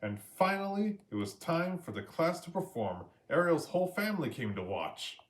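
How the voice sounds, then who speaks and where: Animated man reading a children's story. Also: a little high, normal speed, slight background noise. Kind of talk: children's story read-aloud.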